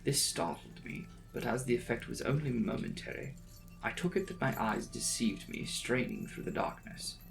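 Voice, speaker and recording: A man's voice: medium pitch, moderate pace, creaky, music in the background, poor audio. A man giving a dramatic, suspenseful reading of a classic gothic novel as an audiobook narrator.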